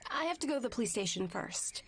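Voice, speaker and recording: A woman's voice: fairly high pitch, very animated, quick pace, gravelly, breathy edge, full character voice. An actress voicing a casual young woman character in a visual novel game.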